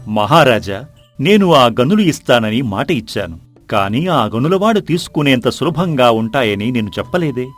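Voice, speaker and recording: A man acts out a shrewd chief minister from an audio folk tale. He is calm, courteous and reassuring, speaking in measured phrases with faint music behind. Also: mid-range voice. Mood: wise, measured.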